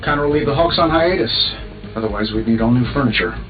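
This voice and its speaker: An actor playing a cocky superhero inventor in a video game, sarcastic and quippy. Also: male voice, mid-range voice, fast talker, natural voice. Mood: wry. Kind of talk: video game character dialogue. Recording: slightly muffled, music behind.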